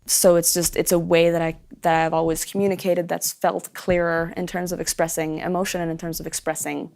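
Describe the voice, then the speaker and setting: A woman's voice: on the low side, level tone, brisk, vocal fry, a little mellow. A young woman with an American accent, raised in Sweden, chatting as a guest musician in an interview, talking animatedly with many fillers and restarts. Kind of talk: casual interview chat.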